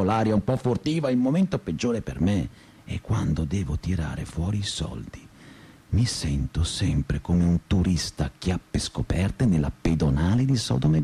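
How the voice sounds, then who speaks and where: A man's voice: medium pitch, darkish tone, close to an everyday voice. Guy acting a thirty-something stoner student, sardonic radio play monologue, mid voice, swings from mutter to outburst. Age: 30s. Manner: sarcastic, slangy, declamatory, swinging between mutter and outburst. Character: restless, sardonic 35-year-old provincial student pot-smoker telling his story. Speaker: stage actor, monologue performer.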